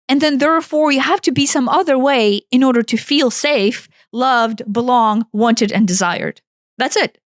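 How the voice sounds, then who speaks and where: Woman, 40s, Ukrainian accent, podcast co-host; high voice, dry close sound, blunt and emphatic. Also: dry recording. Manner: emphatic, blunt, swears freely. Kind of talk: impassioned self-help talk.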